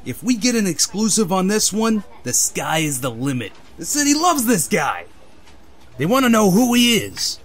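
A man's voice: sits high, very animated, normal speed, fairly bright tone, a little hiss or hum. A man playing a hard-driving newspaper chief in a comic-book dub, barking orders in a commanding way. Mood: excited.

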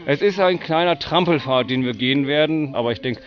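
A man's voice: fairly high pitch, fairly quick. A man talking casually as he guides a group outdoors. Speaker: conservationist, owl walk guide. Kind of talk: casual talk guiding a group. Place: outdoors at night. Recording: dull outdoor field recording.

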